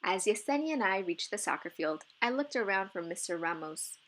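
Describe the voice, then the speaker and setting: A woman narrating a children's novel as an expressive read-aloud. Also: medium pitch, fairly quick.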